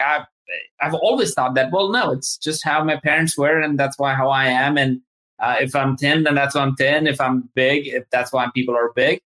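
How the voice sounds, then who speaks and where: A man's voice: fairly high pitch, pushed and bright. A man hosting an interview, asking loose, rambling questions with many restarts. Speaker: show host. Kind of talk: casual interview chat.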